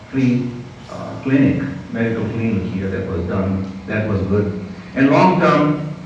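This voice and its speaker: Older non-native man addressing a community, slow with fillers; far from mic in an echoey hall. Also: mid-range voice, darkish tone, highs cut off, slight background noise. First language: not English. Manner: slow, deliberate, frequent "you know" and "uh". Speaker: community speaker.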